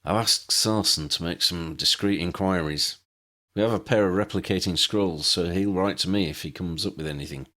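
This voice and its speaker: A man's voice: sits low, moderate pace, sharp tone. A man voicing a practical, frank magical investigator in a storybook, casually reasoning aloud. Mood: matter-of-fact.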